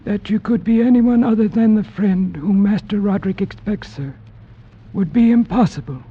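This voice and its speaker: Eerie high-voiced, husky old-house manservant. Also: male voice. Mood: ominous, deferential. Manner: deferential, measured, ominously certain. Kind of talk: old-time radio horror acting. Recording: dull vintage radio recording.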